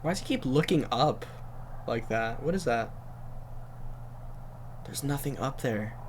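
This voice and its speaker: A man's voice: mid-range voice, lively intonation, measured pace, a little hiss or hum. A man hosting a reaction video, giving casual, slangy and skeptical commentary.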